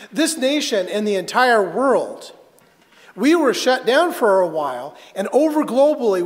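A man preaching a sermon as a pastor, telling a personal story earnestly and haltingly, with dramatic pauses. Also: sits high. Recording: reverberant hall.

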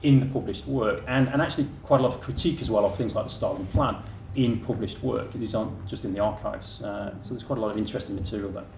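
A man giving an academic conference talk, halting with many fillers and restarts, in a lecture room. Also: fairly low pitch, gravelly, a little mellow. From England. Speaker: academic speaker. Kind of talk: conference presentation. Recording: echoey room from far off with faint noise.

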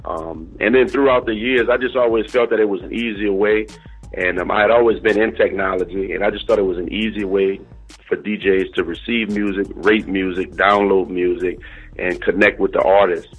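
Deep, husky middle-aged man. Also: fairly even intonation, moderate pace, phone-quality sound, faint music behind. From New Orleans, American South. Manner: informal, uses "you know" and "bro" often. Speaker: interview guest, DJ and company owner. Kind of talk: conversational interview talk.

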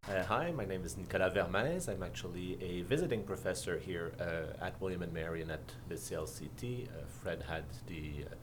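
A man from Quebec with a Quebec French accent, a visiting law professor speaking haltingly with many fillers in a podcast interview. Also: low-pitched, background noise. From Quebec, Canada.

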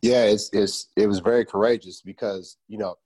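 Male American interview host, slightly low voice, thoughtful, affirming, conversational. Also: fairly even intonation, normal speed, darkish tone. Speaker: interviewer, book podcast host. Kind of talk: conversational interview with reflective comments.

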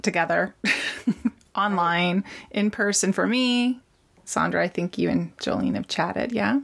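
Gravelly-voiced bubbly woman podcast host. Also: mid-range voice, unhurried. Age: 40s. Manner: bubbly, laughs often, gushing. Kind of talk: casual podcast interview.

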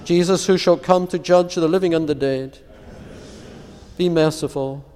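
A man solemnly reciting psalms, litanies and prayers in a measured, reverent, formal way as a prayer leader. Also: fairly high pitch, darkish tone. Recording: long echoing church-like reverb.

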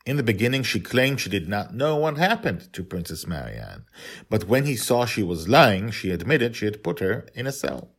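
A man with a low voice acts as host and narrator of a serialized tale. He delivers it as storytelling, in the manner of an author reading his own work. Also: sing-song, normal speed, breathy edge.

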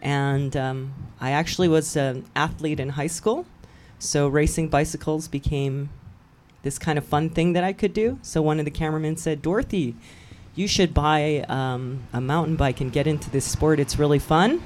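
A woman's voice: low voice, normal speed, a little mellow, a little hiss or hum. A woman from Hawaii, a guest on a local radio show, telling her story in a chatty way and laughing easily. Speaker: guest, cycling event promoter. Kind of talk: casual radio chat.

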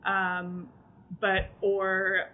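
A woman's voice: mid-range voice, completely flat delivery, very slow. A woman author answering questions at a book event, speaking in a thoughtful, academic way and searching for her words as she goes. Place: event room in front of an audience. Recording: tinny phone-like sound with faint noise.

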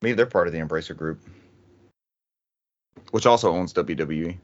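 A man's voice: low voice, normal speed, no echo, lo-fi sound. A man hosting a casual gaming news chat in a joking, sometimes profane way. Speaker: podcast host.

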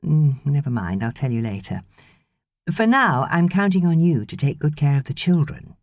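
A British woman voicing a brisk, fussing young mother in an audiobook. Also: sits low, very animated, darkish tone, no room sound, studio quality. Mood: brisk, instructing. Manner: brisk, instructing.

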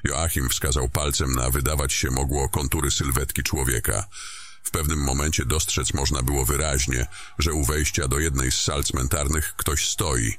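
A man narrating a scripted fantasy story in a descriptive, deliberate storytelling manner. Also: very deep voice, fairly even intonation.

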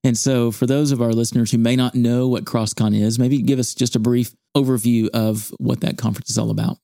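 Mellow-voiced man hosting a ministry podcast. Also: mid-range voice, level tone, creaky, no echo, studio quality. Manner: measured, with earnest churchy phrasing. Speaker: podcast host, campus ministry staff. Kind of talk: studio podcast interview. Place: studio.

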